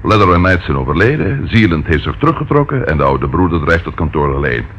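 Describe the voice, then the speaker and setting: A chatty, eager police detective in a radio play. His lowish voice rises and falls widely as he informally reports with dry humor. The sound is muffled and lo-fi. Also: quick pace. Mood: keen, droll. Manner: lively, informal, relishes reporting findings. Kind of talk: Dutch radio play acting. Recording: dull lo-fi old radio recording, faint noise.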